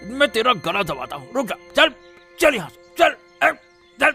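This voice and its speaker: A man acts out a scheming village orchard owner from a cartoon fable. His voice sits high for a man and he blusters, barking repeated orders like a bully. Faint music runs behind him. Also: very animated, moderate pace, creaky, sharp tone, exaggerated voice. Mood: furious, threatening. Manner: blustering, exclamatory, repeats commands. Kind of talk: Hindi cartoon moral-story voice acting.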